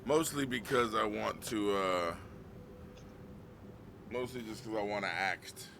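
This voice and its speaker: A man in his thirties, a streamer chatting casually, joking and swearing through lively banter. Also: fairly low pitch, slow pace, gravelly, noisy background. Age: 30s. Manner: joking, profane, animated banter. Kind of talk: casual chat.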